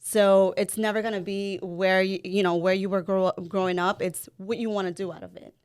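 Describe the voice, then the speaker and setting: Smooth-voiced woman, candid and chatty. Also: medium pitch, level tone, a little fast. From El Salvador. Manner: candid, a little nervous, laughs easily. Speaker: podcast co-host, guest. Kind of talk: casual interview chat.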